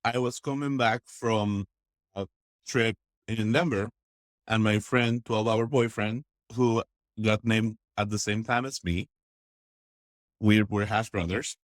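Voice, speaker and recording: A man from Texas, a podcast guest, telling stories in a casual, enthusiastic and expressive way. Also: lowish voice, slow pace, no room sound. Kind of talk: casual interview storytelling.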